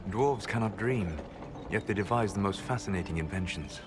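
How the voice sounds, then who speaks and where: A man's voice: on the low side, moderate pace, music in the background, close to an everyday voice. An actor playing a curious, erudite male mage in a fantasy video game, musing as he talks. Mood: musing, fascinated. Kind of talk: video game cutscene acting.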